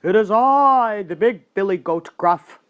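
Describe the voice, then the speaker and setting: Woman as a big hoarse defiant billy goat. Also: mid-range voice, expressive, highs cut off, voice pushed up, close to an everyday voice. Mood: bold announcement. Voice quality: ugly, hoarse. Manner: loud, slow, threatening. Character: big heavy male billy goat, fierce, defiant fighter. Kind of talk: storybook character voice.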